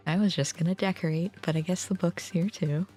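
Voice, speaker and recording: A woman's voice: on the low side, fairly even intonation, brisk, a little mellow. A woman live-streaming a game playthrough, reading dialogue aloud and reacting casually. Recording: music in the background. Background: game music.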